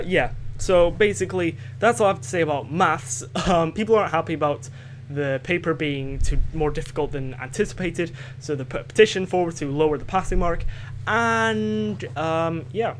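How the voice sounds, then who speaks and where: A man's voice: on the high side, moderate pace, a little hiss or hum. A teenage boy from Scotland with a light Scottish accent, hosting a home podcast in a hesitant, rambling way full of fillers and restarts. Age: teens. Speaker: podcast host. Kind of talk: casual rambling monologue.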